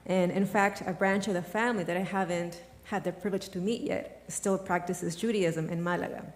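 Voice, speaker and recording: A woman's voice: on the low side, steady tone, brisk. A woman giving a prepared, earnest testimony at a service in a synagogue sanctuary. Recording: long hall reverb.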